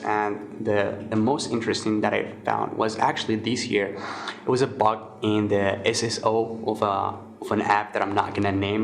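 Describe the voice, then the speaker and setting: A man in his twenties from Morocco, answering interview questions in a relaxed way with many fillers and restarts. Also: on the low side. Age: 20s. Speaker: guest, security researcher.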